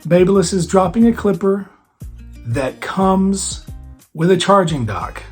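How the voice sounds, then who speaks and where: A hoarse man hosting a barber podcast, chatting casually on his own with lots of fillers and restarts. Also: high voice, lively intonation, a little slow, loud music behind the voice. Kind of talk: casual solo podcast chat.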